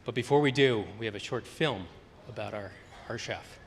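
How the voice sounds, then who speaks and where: A man's voice: medium pitch, moderate pace. A man presenting an award on stage in an upbeat, formal way. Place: large event hall. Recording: hall reverb with crowd noise.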